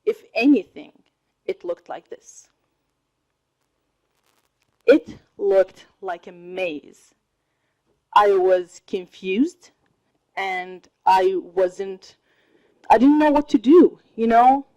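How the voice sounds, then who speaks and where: A woman in her thirties whose first language is Arabic speaks slowly and deliberately to a college audience. Her pitch sits at a middle level, and she laughs now and then between points. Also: lively intonation, mellow, dull audio. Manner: slow, deliberate, laughs often. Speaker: student speaker. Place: college hall.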